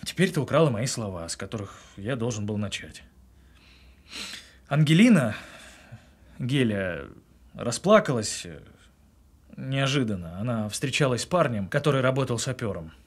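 An actor playing an evasive, self-justifying husband in a naturalistic audio drama, speaking in a halting, husky way. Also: male voice, medium pitch, sing-song. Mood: earnest, self-justifying. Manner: halting, pausing mid-confession. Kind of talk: naturalistic audio drama with murmured inner monologue.

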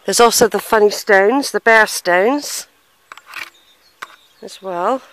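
A middle-aged woman talks to her handheld camera outdoors in a country churchyard. Her voice sits at an ordinary pitch for a woman. She muses and hesitates, sighs, and stops now and then to read old inscriptions aloud. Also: slow pace, fairly bright tone. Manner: musing, hesitant, sighs, reads gravestones aloud. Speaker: family history vlogger. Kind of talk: casual walking vlog, thinking aloud. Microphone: handheld camera, unseen narrator behind it.

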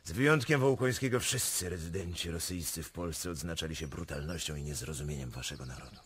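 An actor playing a volatile Russian prince in a radio drama, theatrical, moving between barked orders and courtly flattery. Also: male voice, low-pitched, a little fast, exaggerated voice. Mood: courtly, persuasive. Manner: theatrical, swinging from barking orders to courtly smoothness.

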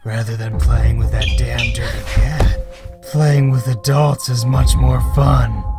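A man's voice: medium pitch, flat delivery, slow, music in the background. A man voicing a leering, menacing young delinquent in an anime-style dub.

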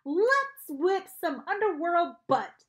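Woman as a plucky, animated young boy hero. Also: extremely high pitch, takes it slowly, pitched above the performer's own voice, exaggerated voice. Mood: determined. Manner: earnest, cheeky, bold.

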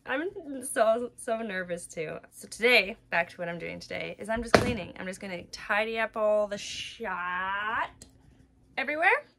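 A woman's voice: mid-range voice, animated, moderate pace, gravelly, pushed and bright, slight background noise. A woman around her thirties chatting casually to a phone held at arm's length, like a family vlogger. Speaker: family vlogger, mother of young children. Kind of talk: casual chat to camera.

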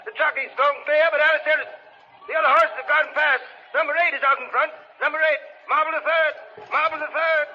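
An actor playing an excited horse race announcer in a 1940s radio drama, with declamatory commentary. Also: male voice, very high voice, fairly quick. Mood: frantic. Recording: echoing, noisy, dull 1940s radio recording.

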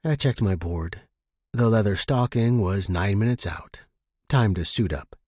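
A man reading first-person fiction as a narrator, in an expressive, dramatic manner. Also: lowish voice, a little slow, slightly rough, dry recording, clean studio sound.

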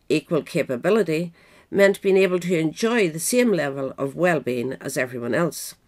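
A woman reading a scripted history essay aloud in a measured, formal manner. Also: low-pitched. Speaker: audiobook reader.

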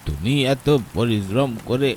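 A man voicing an eerie old village woman who speaks slowly and softly, over loud storm sounds. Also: mid-range voice, lively intonation, natural voice. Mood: coaxing. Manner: slow, hushed, coaxing. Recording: heavy storm noise behind.

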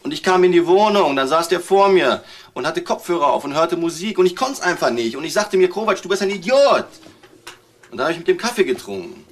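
A man's voice: high-pitched, very animated, a little fast. Edgy, street-smart male crook. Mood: agitated, wary. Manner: colloquial, blunt, wary. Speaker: petty criminal. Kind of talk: radio crime drama acting.

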